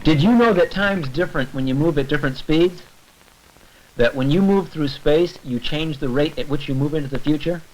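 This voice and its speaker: A man's voice: fairly high pitch, moderate pace, darkish tone, slight background noise, low-quality recording. A man giving scripted educational film narration, explaining things step by step and addressing the viewer directly.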